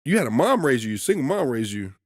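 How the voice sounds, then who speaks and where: Loud commanding man telling stories. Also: medium pitch, big pitch swings, brisk, dry recording, studio quality. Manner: loud, commanding, emphatic storytelling. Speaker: podcast co-host. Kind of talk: casual podcast chat.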